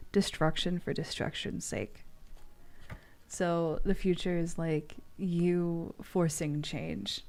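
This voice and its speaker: A woman's voice: lowish voice, darkish tone. A woman giving tarot readings on a stream in a playful, casually profane way, moving between loose chat and reading aloud.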